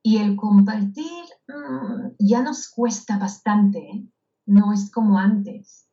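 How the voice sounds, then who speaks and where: Woman from Israel, slow deliberate talk, dark mellow tone, hesitant with fillers, dull lo-fi recording. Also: mid-range voice. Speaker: guest. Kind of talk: casual interview talk. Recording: dull lo-fi audio.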